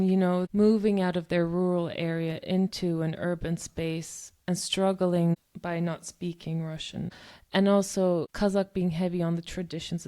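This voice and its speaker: A woman's voice: lowish voice, warm tone. A woman co-hosting a discussion podcast, talking in long, thoughtful stretches full of "um" and "you know". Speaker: podcast co-host.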